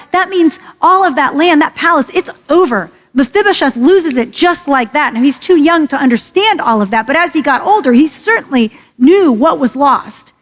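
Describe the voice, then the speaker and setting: A woman pastor preaching a sermon, earnest and emphatic, slowing and lowering her voice on key phrases. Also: fairly bright tone. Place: church sanctuary. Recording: long hall reverb.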